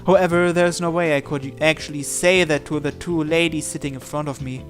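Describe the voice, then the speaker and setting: A man with a fairly high voice reads a visual novel aloud. He moves between narration and acted dialogue, with music playing underneath. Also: fairly even intonation, fairly quick. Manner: acts out the protagonist's lines. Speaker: video host reading a visual novel aloud. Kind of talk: dramatized reading of narration and dialogue.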